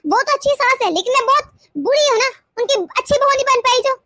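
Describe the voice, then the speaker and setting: एक औरत घरेलू मेलोड्रामा कहानी में जलन और चोट से भरी, रूठी, हुकूमत चाहने वाली जवान पत्नी का किरदार नाटकीय अंदाज़ में, शब्द दोहराते हुए निभा रही है।. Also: female voice, very high-pitched, a little fast. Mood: sulky, bitter. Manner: dramatic, repeats words when upset. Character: young wife, jealous, wounded, sulky and controlling. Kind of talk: melodramatic domestic story acting.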